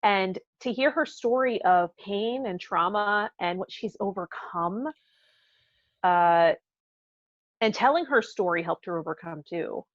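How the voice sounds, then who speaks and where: Female podcast co-host, casual and thoughtful, halting phrasing, mid-range voice, dry sound with little room. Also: mid-range voice, dry recording, highs cut off. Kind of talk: casual reflective conversation.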